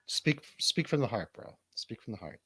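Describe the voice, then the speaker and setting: A man's voice: medium pitch, sing-song, moderate pace, fairly bright tone, slightly rough, no room sound. A man hosting a livestream radio show with casual, comedic chat, rambling and swearing irreverently.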